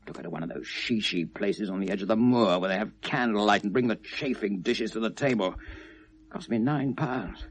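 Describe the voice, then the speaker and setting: Tormented British man confessing, wildly swinging pitch. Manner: halting, bitter confession, swinging from hushed to anguished. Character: tormented, obsessive lovelorn man confessing to police. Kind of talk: 1970s British radio drama monologue. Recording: noisy vintage radio play recording.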